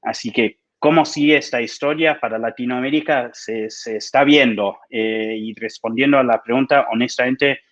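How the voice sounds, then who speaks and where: A man's voice: medium pitch, steady tone, normal speed, few pauses. American man on a dull lo-fi line. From United States. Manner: thoughtful, slips into English. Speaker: panelist. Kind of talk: panel discussion. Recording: dull lo-fi sound.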